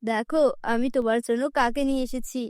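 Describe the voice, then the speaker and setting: A woman's voice: a little high, steady tone, fairly quick, darkish tone, clear, resonant tone, no echo, studio quality, lightly acted. A woman voicing a mild father in a cartoon story, reassuring and placating his child. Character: mild, placating adult father of a little girl.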